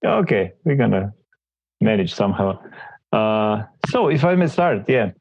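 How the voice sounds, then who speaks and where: A man with a gravelly, lowish voice asks his questions hesitantly, often repeating words as he looks for the right phrasing. The recording is dry and a little dull. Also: big pitch swings, a little slow, a little mellow, no echo. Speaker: guest interviewer, mentee. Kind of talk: casual interview.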